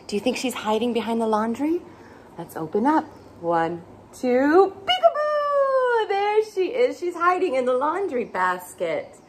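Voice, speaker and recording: A woman's voice: sits high, big pitch swings, measured pace. A young woman hosting a children's story time, reading a picture book aloud in an exaggerated, playful way with gasps and questions. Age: young adult. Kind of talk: animated picture-book read-aloud for toddlers. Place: small indoor playroom with bookshelves.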